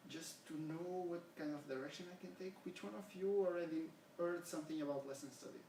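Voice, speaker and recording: A man's voice: on the high side, a little fast. Portuguese-accented man lecturing in an echoey room. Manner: earnest, poses questions to the audience. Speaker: education researcher presenting. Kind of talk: academic seminar presentation. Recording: echoey room, from far off, faint hiss.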